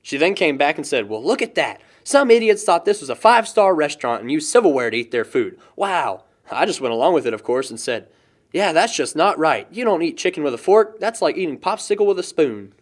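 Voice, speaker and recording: A man's voice: on the high side, big pitch swings, brisk. A young man playing a lighthearted, chatty, lovestruck guy in a casual audio drama, joking and rambling. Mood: chatty, amused. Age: young adult.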